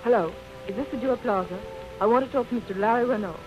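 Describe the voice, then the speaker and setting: A woman's voice: on the high side, fast, music in the background, lightly acted. Young society daughter woman actor, anxious and urgent, 1930s radio drama, dull old recording.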